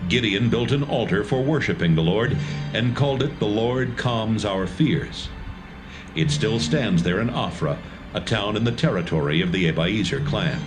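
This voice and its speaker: A man narrating a dramatised audio Bible in a measured, even storytelling manner. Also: fairly low pitch. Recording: music bed under narration.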